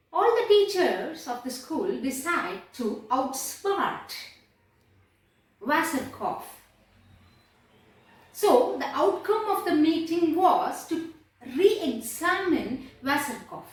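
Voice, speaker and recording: Female teacher, lively swooping intonation, explanatory storytelling, recorded distant in a very echoey room. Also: sits high. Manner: deliberate, repeats phrases for emphasis. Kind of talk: lesson retelling a play.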